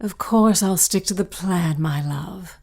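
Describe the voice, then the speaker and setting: Sly, creaky-voiced murderous schemer. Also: female voice, fairly low pitch, a little slow, a little mellow. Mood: seductive. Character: scheming, impatient, seductive woman plotting murder. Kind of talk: period melodrama audio drama acting.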